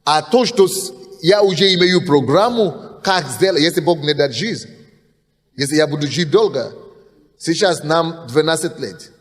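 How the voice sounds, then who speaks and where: A man's voice: fairly high pitch, unhurried. A man in his thirties preaching a sermon from the pulpit, insistent and emphatic, often restarting words. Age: 30s. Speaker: pastor. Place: church hall, at the pulpit. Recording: long hall reverb.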